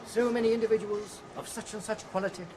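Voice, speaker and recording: A man's voice: high-pitched, steady tone, fast, background noise, natural voice. An actor playing a pompous male hatchery director who lectures a group of students with patronizing enthusiasm. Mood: lecturing. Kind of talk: 1990s radio drama acting.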